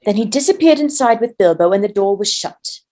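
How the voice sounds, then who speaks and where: A woman's voice: medium pitch, animated, a little fast, mellow. A woman narrating a storybook as a volunteer reader.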